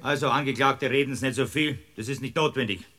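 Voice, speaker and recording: An actor playing a stern, exasperated district court judge with a Bavarian accent in a comic radio drama, scolding with official authority. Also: male voice, medium pitch, a little fast, sharp tone, natural voice.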